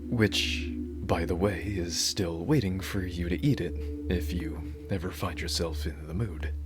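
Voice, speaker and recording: A man's voice: sits low, fairly even intonation, a little slow. A man playing a devoted, dorky yandere bodyguard in an ASMR roleplay, earnest and rambling, awkwardly correcting his own slips. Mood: sheepish, eager. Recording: loud music behind the voice. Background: music.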